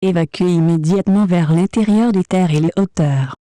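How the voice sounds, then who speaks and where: A woman's voice: fairly low pitch, fairly quick, dark tone, no room sound. A woman reading a scripted emergency alert in a formal, impersonal manner. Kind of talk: scripted emergency broadcast announcement.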